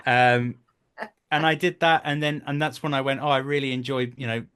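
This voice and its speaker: A man's voice: mid-range voice, fairly even intonation, a little fast, a bit bright, smooth. A British man in his thirties chatting casually as an interview guest, explaining things and telling stories in a talkative way. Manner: chatty, explanatory, breaks into brief acted quotes. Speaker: guest, voice actor and stage performer.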